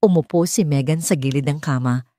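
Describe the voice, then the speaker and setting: A woman's voice: low-pitched, brisk, dark tone, no echo, clean studio sound. A woman with a Filipino accent reading a scripted romance story as an audiobook narrator.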